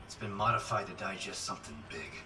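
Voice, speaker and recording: An actor playing a weary, blunt, determined spaceship engineer in a naturalistic video game style. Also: male voice, low-pitched, fairly even intonation, fast, a little hiss or hum. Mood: tense. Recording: echoey, distant, loud music behind.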